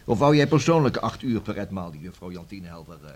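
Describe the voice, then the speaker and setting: An actor in a Dutch radio play playing a methodical, collegial senior police detective who explains things conversationally, with small hesitations. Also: male voice, low-pitched, very animated, fast talker, a little mellow. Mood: chatty, musing.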